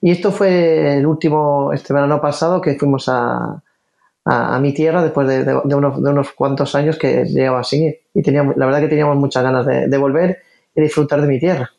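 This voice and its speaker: A man's voice: on the high side, steady tone, brisk, vocal fry, a little mellow. Earnest middle-aged man, hesitant speech. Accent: mixed Spanish, faded Galician. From Galicia, Spain. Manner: hesitant, repeats words, earnest. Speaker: interview guest, former football player. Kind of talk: casual conversational interview.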